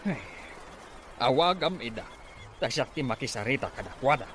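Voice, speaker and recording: A man's voice: mid-range voice, animated, normal speed, fairly bright tone, lightly acted. A man with a Filipino accent playing an exasperated, grumbling father of grown children in an audio drama. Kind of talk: animated Ilocano radio drama acting. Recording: faint background noise.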